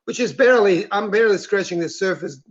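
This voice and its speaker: A man from Switzerland speaks as an interview guest, his voice pitched high. He tells his personal story earnestly and reflectively, in a soft-spoken manner. The recording sounds dull and lo-fi. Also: fast talker.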